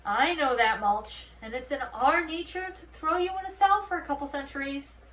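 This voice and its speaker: A woman voicing a brisk, dryly sardonic female elf police captain in a storybook reading. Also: sits high, sharp tone, pitched above the performer's own voice. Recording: roomy, distant mic, faint noise.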